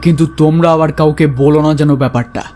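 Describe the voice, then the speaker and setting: A man's voice: on the high side, steady tone, fairly quick, dark tone, a little hiss or hum, close to an everyday voice. A man playing a chatty, eager village gossip in a cartoon, speaking colloquially. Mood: confiding. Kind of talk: cartoon voice acting.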